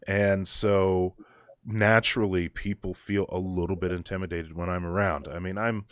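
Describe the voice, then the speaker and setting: A young man with a very deep voice talking casually as a guest, thoughtful and halting with frequent restarts. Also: level tone, no room sound, clean studio sound. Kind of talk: casual conversation.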